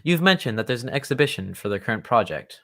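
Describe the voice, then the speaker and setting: A man playing a polite, earnest, loyal gentleman friend of a detective in an old-time radio drama, speaking with formal courtesy. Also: medium pitch, big pitch swings, quick pace. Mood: genial.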